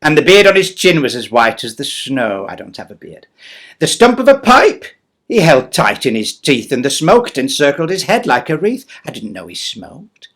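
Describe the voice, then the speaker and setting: A man's voice: fairly high pitch, sing-song, moderate pace, fairly bright tone. A man playing a cheeky old pantomime dame, reading a Christmas poem theatrically with playful, chatty delivery. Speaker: storyteller.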